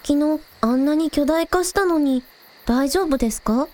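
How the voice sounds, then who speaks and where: A woman playing a cheerful, curious young village idol girl, casual and quick to exclaim, in a scripted audio drama. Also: high voice, a little mellow, lightly acted. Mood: concerned, bright.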